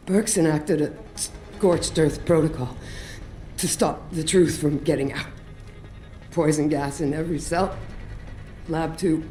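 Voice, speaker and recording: A woman's voice: deep voice, steady tone, measured pace, pauses often, dark tone. A woman playing a tough, wry operative in a video game, badly wounded and speaking with labored, pained determination. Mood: pained urgency. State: weak, labored, in pain. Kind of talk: video game dramatic acting. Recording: echoey space with faint music.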